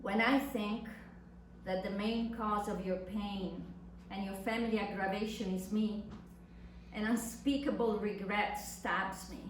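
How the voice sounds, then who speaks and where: A woman's voice: medium pitch, steady tone, pitched below the performer's own voice, natural voice. A woman performing a dramatic monologue as an anguished young adult daughter addressing her mother, with impassioned, theatrical delivery. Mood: anguished, remorseful. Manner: impassioned, deliberate, theatrical delivery with long pauses. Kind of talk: dramatic literary monologue reading on stage. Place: reverberant indoor venue at a live reading. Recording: echoey room, distant mic, faint noise.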